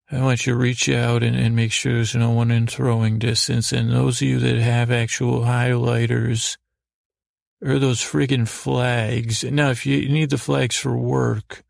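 A man with a gravelly voice hosting a sleep podcast, giving a soothing, rambling bedtime monologue that halts, repeats and wanders off mid-thought. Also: mid-range voice, darkish tone, dry recording, clean studio sound. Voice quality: gravelly, creaky. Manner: halting, meandering, repeats and trails off mid-thought.

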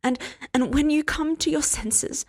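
A woman putting on a high, syrupy, pious woman. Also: moderate pace. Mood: tearful, insistent. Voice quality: sweet, syrupy, condescending. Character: syrupy, pious, controlling woman, a meddling friend. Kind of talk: storybook reading.

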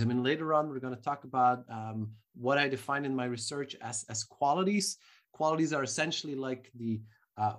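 A marketing professor answering interview questions thoughtfully, with frequent restarts and fillers. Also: male voice, medium pitch, a bit bright. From Belgium.

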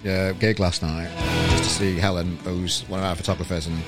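A man's voice: sits low, little pitch movement, brisk, loud music behind the voice. A man hosting a podcast, chatting casually and rambling with lots of restarts. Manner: rambling, with many restarts and laughs. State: irritable, voice going on him. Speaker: podcast host. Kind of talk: casual chat.

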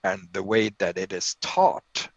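A man's voice: low-pitched, animated, unhurried, creaky, dry recording, dull audio. A middle-aged man from Lebanon, a professor talking reflectively in an interview, with frequent fillers and restarts. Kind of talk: conversational interview.

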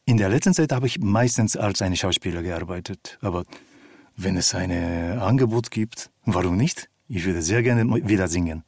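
A calm, relaxed man with a deep voice and a Japanese accent, answering interview questions hesitantly in a language not native to him. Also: moderate pace, dry recording, studio quality. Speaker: actor and opera singer, interview guest.

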